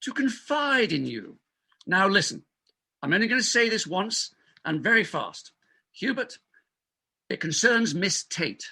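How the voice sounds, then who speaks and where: A man's voice: high-pitched, big pitch swings, a little slow, long pauses, bright, forceful tone, breathy edge, heavily acted. A man playing an exasperated, self-important hospital doctor, indignant and emphatic, in a comic stage farce reading. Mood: exasperated, scheming. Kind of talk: comic farce readers theatre.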